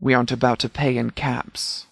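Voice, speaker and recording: A man voicing a composed video game law officer who speaks formally and precisely, with a dry, deadpan manner. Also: medium pitch, moderate pace, vocal fry, slightly rough, no echo. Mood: dry, assured. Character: composed, formal male sheriff's department commander, strategic and dry. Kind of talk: video game dialogue voice lines.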